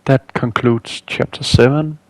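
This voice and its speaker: A man's voice: mid-range voice, fairly even intonation, unhurried, poor audio. A man narrating a scripted video game walkthrough as a guide.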